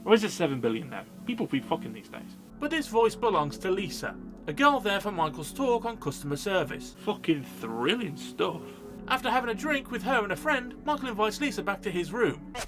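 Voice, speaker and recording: A man's voice: high voice, big pitch swings, brisk, over music. A man narrating a scripted comedic film review in a sarcastic, sweary manner. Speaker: film review video host.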